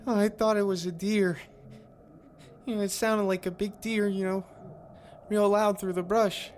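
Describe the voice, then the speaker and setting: Woman voicing a hesitant, slow-talking young schoolboy. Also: mid-range voice, steady tone, darkish tone, a little hiss or hum, natural voice. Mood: shaken, fearful. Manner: hesitant, halting. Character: frightened, shy young schoolboy confiding in a detective.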